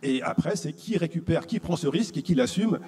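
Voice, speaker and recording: A man's voice: a little high, expressive, fairly quick, a little mellow. Man lecturing in a reverberant hall. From France. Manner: didactic, poses rhetorical questions. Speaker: conference speaker. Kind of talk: explanatory public lecture.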